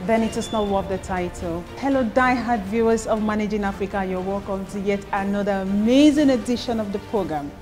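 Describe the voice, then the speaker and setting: A woman's voice: mid-range voice, brisk, hardly any pauses, over music. A woman presenting a television programme in a formal announcer manner. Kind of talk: scripted introduction and interviewing.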